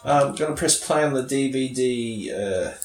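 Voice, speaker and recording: A man chatting casually as a podcast co-host doing movie commentary, nasal and stuffed up with a cold, a little halting as he goes. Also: medium pitch. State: sniffly with a cold. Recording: roomy echo, music behind.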